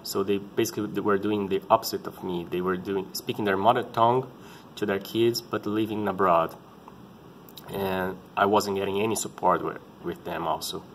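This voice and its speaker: A man in his thirties with a Brazilian Portuguese accent, speaking English as a second language, telling his story in a halting and earnest way, often restarting to find words. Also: sits low, level tone, slight background noise. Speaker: parent sharing his experience. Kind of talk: casual personal vlog talk.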